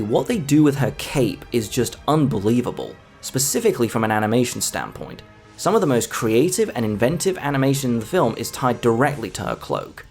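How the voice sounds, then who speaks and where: A man narrating a scripted video essay as a film reviewer. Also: mid-range voice. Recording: music under the voice. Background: background music.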